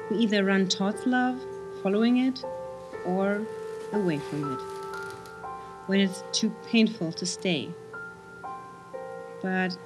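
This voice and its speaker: An actress with a German accent playing a lonely young immigrant woman, narrating quietly and reflectively. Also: medium pitch, close to an everyday voice. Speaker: lead character and narrator. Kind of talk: film drama with voice-over narration.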